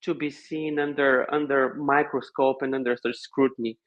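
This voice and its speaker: A man from Bulgaria with a Bulgarian accent, talking casually as a podcast guest with thoughtful, halting phrasing in his second language. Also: mid-range voice, fairly even intonation, dull audio. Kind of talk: casual conversation.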